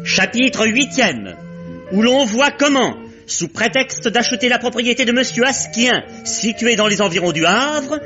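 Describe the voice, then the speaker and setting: Playful chapter storyteller, lively intonation, theatrical, old radio serial, muffled, music underneath. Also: male voice, very high-pitched, unhurried, exaggerated voice. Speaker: chapter narrator. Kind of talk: theatrical scripted narration in old radio manner.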